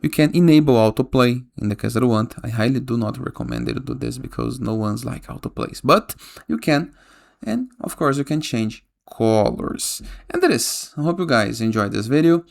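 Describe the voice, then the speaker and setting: A man with a creaky, gravelly voice at an ordinary male pitch hosts a casual software tutorial. He walks through steps as a voice-over and often tags instructions with a quick check-in question. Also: expressive. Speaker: tutorial host. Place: voice-over for a screen recording.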